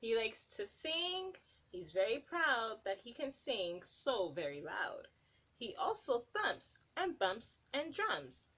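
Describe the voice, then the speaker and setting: A woman's voice: on the high side, big pitch swings, slow, a bit bright. A woman preschool teacher reading a picture book aloud to young children, stopping to ask them questions. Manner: sing-song, asks children questions between pages.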